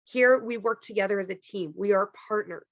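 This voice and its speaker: A woman from Canada walking her team through a pay plan on a video call, chatty and full of "like" and "okay", her voice going hoarse. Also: mid-range voice, a little fast, no room sound, highs cut off. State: losing her voice. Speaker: team leader presenting a compensation plan. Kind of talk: casual presentation on a video call.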